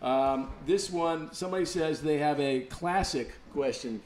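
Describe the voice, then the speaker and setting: A man with a somewhat high voice hosts a live web interview with an easygoing, joking manner. Faint room ambience hums behind him. He moves casually from caller to caller. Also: measured pace, reverberant, a little hiss or hum. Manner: easygoing, joking, reads viewer questions. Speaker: interview host.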